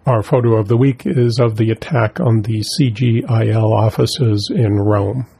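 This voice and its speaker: A man delivering a scripted labour news report as correspondent, measured and steady. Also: fairly low pitch, creaky, breathy edge.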